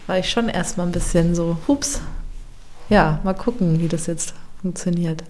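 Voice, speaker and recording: A woman chef in a casual interview, speaking reflectively and often pausing to search for words. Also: lowish voice, mellow, a little hiss or hum. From Germany.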